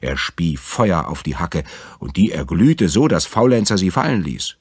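Male fairy-tale narrator, extremely low and husky, recorded very dry. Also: big pitch swings, normal speed, no echo. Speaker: storyteller.

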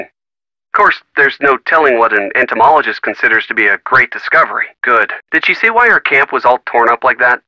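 An actor playing an easygoing, teasing young American boyfriend in a video game, casual and wry. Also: male voice, mid-range voice, bright, forceful tone, dry recording, low-quality recording. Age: young adult.

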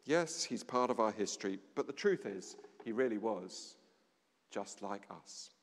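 Unhurried preacher in a reverberant church. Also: male voice, on the low side, animated. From England. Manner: measured preaching with dramatic pauses and a dropping voice. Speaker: vicar. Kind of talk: sermon. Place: large stone church interior. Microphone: standing at a lectern, far camera.

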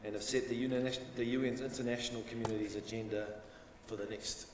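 A man in his forties delivers a formal welcome, measured and steady, letting each phrase fall away at its end. His mid-range voice is picked up from a distance. A long hall reverb and faint hiss surround him. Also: medium pitch. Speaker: university welcome speaker. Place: conference room, at a lectern.

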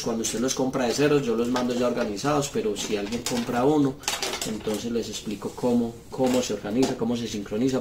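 A man with a mid-pitched voice talks through a practical demonstration. He goes step by step, pausing often as he works. The recording is made in a room, with clear noise and small clicks behind him. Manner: halting, step-by-step phrasing with pauses. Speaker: seller demonstrating referee intercom gear. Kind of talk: hands-on tutorial, unscripted explanation. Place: small indoor room at a table.

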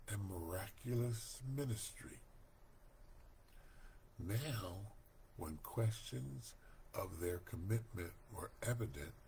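An older man reading a Bible study lesson aloud from home, slow, deliberate and earnest, recorded on a webcam mic. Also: sits low, a little mellow, a little hiss or hum. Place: home room, indoors. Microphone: webcam at desk.

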